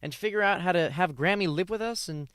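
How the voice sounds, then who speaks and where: A man's voice: sits high, fairly quick, a bit bright, clean tone, dry recording, studio quality. A male actor playing an earnest, sweet young grandson in a radio play. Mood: eager, earnest.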